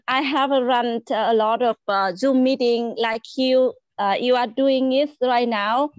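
A woman's voice: sits high, no room sound. A woman in her forties with a Vietnamese accent, talking over a video call in a polite, hesitant way with many fillers. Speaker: guest. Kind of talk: casual video call chat. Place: small room indoors. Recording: dull lo-fi call audio.

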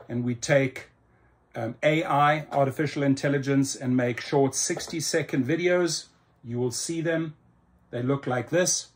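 A man's voice: fairly high pitch, a little mellow. A middle-aged man with a South African accent, talking casually to camera in a room to promote his channel, upbeat and salesy with lots of ums. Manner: upbeat, salesy, with many ums and slow emphatic phrases. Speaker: YouTube channel host. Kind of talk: casual promotional vlog talk. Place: indoor room in front of a computer screen.

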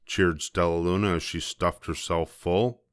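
A man's voice: low voice, fairly even intonation, a little slow, clear, resonant tone, no room sound. An older man narrating a scripted children's storybook.

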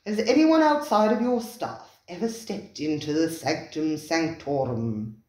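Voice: mid-range voice, lively intonation, unhurried, a little mellow, natural voice. A reader voicing Lafleur, a jovial, hearty male regular diner who talks in a teasing way. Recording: roomy, echoey recording.

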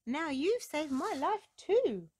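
Woman as a high cooing kind dove. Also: big pitch swings, slow pace, dry recording, clean studio sound, full character voice. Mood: grateful. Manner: gentle, calling. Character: kind, gentle female dove.

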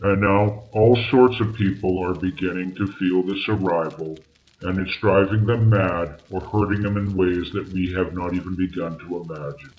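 Guy playing a serious weary scientist man, low voice, formal and exasperated, old radio drama, bit muffled. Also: steady tone, moderate pace, close to an everyday voice. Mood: foreboding. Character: grave, exasperated male doctor, sceptical man of science.

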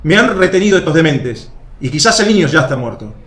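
Man acting as a raging, urgent Roman soldier for a radio play, higher voice, echoey room. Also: sing-song, fast. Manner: shouting, insulting, urgent. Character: angry Roman soldier, rough and desperate. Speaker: Alejandro.

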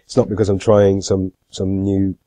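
A man's voice: deep voice, completely flat delivery, moderate pace, mellow, dry recording. A middle-aged man with a cold, giving a screen-share tutorial in a plain, matter-of-fact way with frequent "uh" fillers. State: has a cold, congested. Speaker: marketing YouTuber.

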